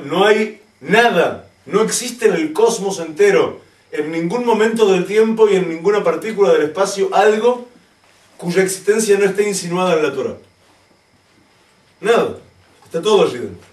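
A man's voice: a little high, distant mic. A man who is a rabbi gives a Torah lesson to a community, speaking emphatically, using rhetorical questions and wry asides. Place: community hall. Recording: roomy echo, from away from the mic.

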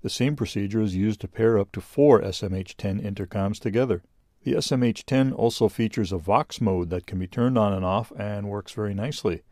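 A man's voice: fairly low pitch, brisk, warm tone, no echo, clean studio sound. A man reading scripted narration for a product review video in a plain-spoken, explanatory way.

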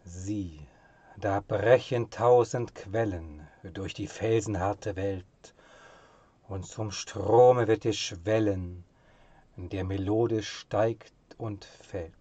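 A man reciting literary poetry in a solemn, measured and expressive manner, with phrase endings that drop to a hush. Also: fairly low pitch, dry recording, lo-fi sound.

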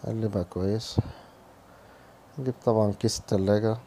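A hoarse, low-voiced man with an Egyptian accent talks through a home recipe in a casual, step-by-step way. He speaks fast with a dark, mellow tone. Faint kitchen noise sits behind him. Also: steady tone. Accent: Egyptian Arabic. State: hoarse from a cold. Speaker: home cooking channel host. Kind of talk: cooking tutorial voice-over.

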